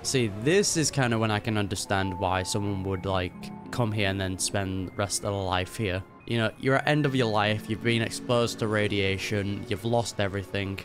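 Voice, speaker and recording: A man's voice: lowish voice, moderate pace, few pauses, music in the background. A man giving casual reaction commentary in an informal, musing, conversational way. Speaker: video game reactor.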